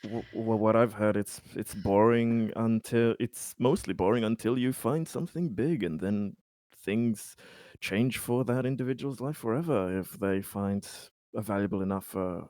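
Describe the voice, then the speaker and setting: A man playing a polite, hesitant company man in a sci-fi tabletop game, speaking in halting, repetitive, formal phrases. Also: lowish voice, dark tone, dry recording, lightly acted. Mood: polite, encouraging. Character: Conrad, polite, hesitant, optimistic company man at a space colony. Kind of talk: tabletop roleplay in character.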